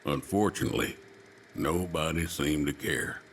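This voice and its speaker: An actor playing a folksy, plainspoken middle-aged cowboy with a Southern American drawl in a video game. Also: male voice, very deep voice, normal speed, fairly bright tone, slight background noise. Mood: grave, storytelling. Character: folksy, plainspoken older male cowboy racer. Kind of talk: dramatic video game dialogue.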